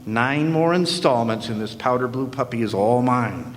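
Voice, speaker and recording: A man's voice: mid-range voice, moderate pace. A man narrating as a wry, sardonic hard-boiled detective in the style of 1940s noir radio drama. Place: historic club hall, live audience performance. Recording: long echoing hall reverb.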